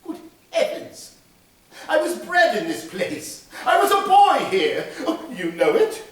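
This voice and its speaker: A man's voice: extremely high pitch, very animated, unhurried, a bit bright, very echoey, recorded from a distance, pitched above the performer's own voice, full character voice. A man voicing an old miser, laughing and gushing with breathless exclamations. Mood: giddy nostalgia. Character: miserly old man, stirred to giddy joy.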